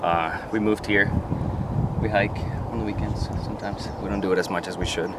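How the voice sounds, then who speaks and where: A man from Colombia, a hiker answering questions on a trail, speaking a little haltingly. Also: fairly low pitch, fairly even intonation. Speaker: hiker being interviewed. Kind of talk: casual answers on camera. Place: outdoors on a mountain trail. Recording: distant voice in outdoor noise.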